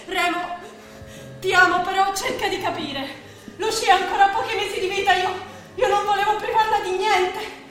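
High-pitched, pleading woman in love. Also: fairly even intonation, normal speed, a bit bright, soft background music. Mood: anguished confession. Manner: imploring, emotional. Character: anxious, pleading lover caught between two men. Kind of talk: theatrical stage drama acting. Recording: echoey stage recording with faint noise.

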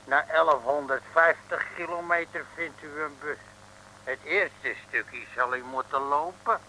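A plaintive, lonely yeti monster in an old comic radio serial. The voice is high for a male and very bright, with slow, whining delivery, on a noisy vintage recording. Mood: meekly helpful. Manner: whining, pleading. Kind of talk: 1950s-60s Dutch comic radio serial acting.

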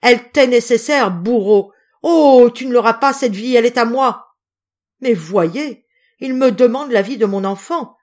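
A woman's voice: fairly low pitch, animated, moderate pace, mellow. A woman voicing an anguished young wife and mother, desperate and theatrical, in a dramatic story reading. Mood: desperate outrage. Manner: impassioned, pleading, theatrical.

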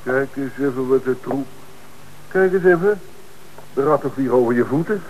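An actor playing a practical detective who assists the commissioner, speaking in a matter-of-fact way in a radio drama. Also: male voice, medium pitch, normal speed, muffled recording, a little hiss or hum, close to an everyday voice.

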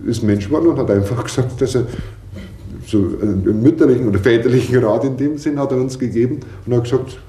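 A man's voice: mid-range voice, fast talker, dark tone. A man casually telling an anecdote in spontaneous speech, quoting what others said. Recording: roomy echoey room with faint noise.

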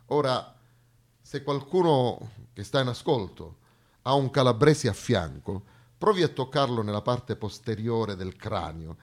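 A man's voice: mid-range voice, animated, unhurried. A man performing a satirical theatre monologue, lecturing mock-seriously with ironic asides to his listeners.

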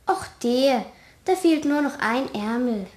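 A high-voiced, whiny schoolgirl. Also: animated, slow, darkish tone. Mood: evasive. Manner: whiny, stalling. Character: evasive, careless young schoolgirl, daughter. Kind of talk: German children's radio play acting.

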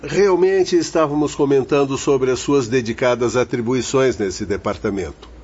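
An actor playing a courteous, earnest spiritual guide with a formal, didactic delivery in a radio drama. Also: male voice, medium pitch, moderate pace, a little mellow, slightly rough, lightly acted. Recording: dull lo-fi audio.

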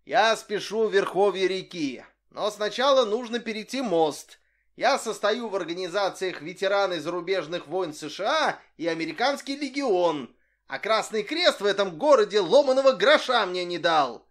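Guy putting on a loud bragging old tramp, high and pushy, always in a rush. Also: animated, normal speed. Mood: boastful. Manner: shouting and bragging, hurrying others on. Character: small boastful old tramp man, restless and in a hurry.

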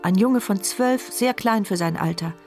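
An actress playing a wry, watchful woman narrating her silent inner thoughts in a measured radio drama style. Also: medium pitch, vocal fry, a little mellow, lightly acted. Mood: reflective. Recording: studio radio drama with music behind.

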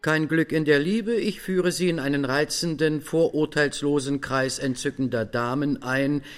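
An actor playing a spiteful office colleague in a German radio drama, mocking and gloating. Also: male voice, on the high side, steady tone, moderate pace, smooth, faint music behind, lightly acted.

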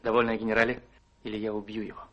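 An actor playing an impulsive, ardent young army officer in a radio play, his voice husky and breathy. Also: fairly low pitch, flat delivery, racing, highs cut off, close to an everyday voice. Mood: ardent, infatuated.

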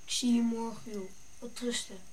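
High-voiced earnest young boy acted by a female performer. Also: fairly even intonation, moderate pace, a little mellow, close to an everyday voice. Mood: quiet, earnest. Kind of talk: radio drama acting. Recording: clearly noisy background.

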